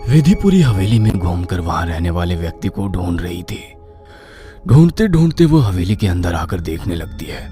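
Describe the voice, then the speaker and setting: A man's voice: sits low, sing-song, normal speed. A man narrating a scripted horror story in a dramatic style, as a storyteller. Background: prominent music.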